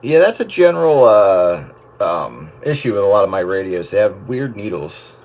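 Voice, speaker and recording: A man's voice: fairly low pitch, big pitch swings, moderate pace, darkish tone. A man chatting casually and ramblingly over the radio about equipment, trailing off as he goes. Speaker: host of an amateur radio chat. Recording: noisy radio transmission with static.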